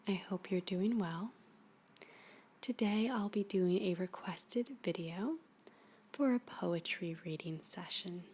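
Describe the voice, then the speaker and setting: A woman host whispering softly in an ASMR style, as for a poetry reading, with a hushed, deliberate delivery and long pauses. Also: on the low side, breathy edge.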